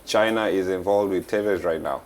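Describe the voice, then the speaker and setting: A man co-hosting a studio show in casual banter, joking and quick to laugh. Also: sits low. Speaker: studio co-host. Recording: faint background noise.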